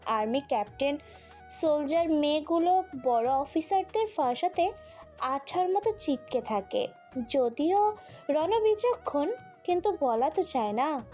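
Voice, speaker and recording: Casting: woman to play gossipy matchmaking auntie in an audio story, high but warm tone, insinuating. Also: lively intonation, measured pace, faint music behind. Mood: scornful warning. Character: gossipy, meddling aunt playing matchmaker.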